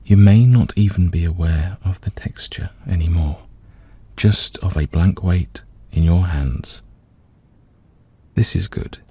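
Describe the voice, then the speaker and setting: A man gently guiding a meditation, giving soothing, unhurried instructions with pauses between phrases. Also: low-pitched, slightly rough. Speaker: meditation guide. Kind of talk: guided meditation narration.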